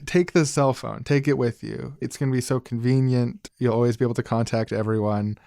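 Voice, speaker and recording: A man's voice: mid-range voice, fairly even intonation, fairly quick, creaky, mellow, no echo. Man co-hosting a podcast, dry close sound. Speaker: podcast co-host. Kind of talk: conversational political commentary.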